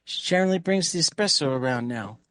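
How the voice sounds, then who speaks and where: A man's voice: fairly high pitch, lively intonation, fast talker, a bit bright, dry recording. A man playing a tabletop role-playing game, chatting casually in character with an offhand, joking manner.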